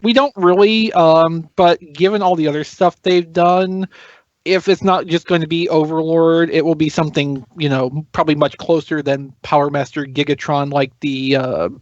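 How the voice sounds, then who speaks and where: A man's voice: fairly high pitch, steady tone, normal speed, no room sound, lo-fi sound. A man on a podcast panel, explaining things casually but analytically and in detail.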